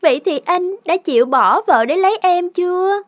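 A woman's voice: extremely high pitch, animated, normal speed. A woman voicing young women in a cartoon, whiny and coaxing. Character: young wife and scheming young mistress. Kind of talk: cartoon dubbing.

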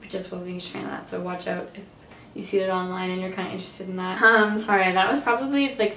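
A young woman from Canada chatting casually about clothes she tried on, slightly nasal and raspy from allergies, rambling with lots of fillers. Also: medium pitch, fairly bright tone, reverberant. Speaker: fashion vlogger. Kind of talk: casual try-on haul chat. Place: bright bedroom.

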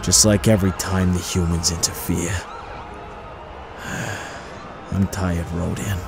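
A man acts out a huge monster worn down by grief. The voice is low and gruff, and the words come slowly and heavily. Dramatic music plays underneath, as in a comic dub. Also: flat delivery, exaggerated voice. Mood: weary, resigned. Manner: slow, heavy, sighing delivery. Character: weary, gruff, grieving giant male monster.

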